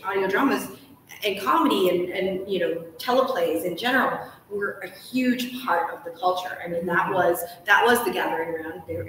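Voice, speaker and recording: A woman's voice: medium pitch, a bit bright. Distant, echoey American woman interviewer. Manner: enthusiastic, wordy, thinking aloud. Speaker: host, interviewer. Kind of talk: casual live interview. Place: large hotel sitting room, live stream. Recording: very echoey, distant, background noise.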